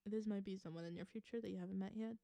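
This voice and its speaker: A woman from the American South giving an intuitive tarot reading to viewers. She gives earnest advice, repeats phrases for emphasis and laughs softly. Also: mid-range voice, steady tone, rapid-fire, gravelly, no room sound. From Southern United States. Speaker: tarot reader.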